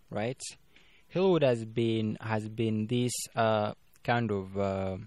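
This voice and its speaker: A man's voice: medium pitch, a little mellow, dry recording. A young man from Uganda, a filmmaker guest, chatting casually in an interview, with lots of fillers and restarts. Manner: rambling, frequent fillers and restarts.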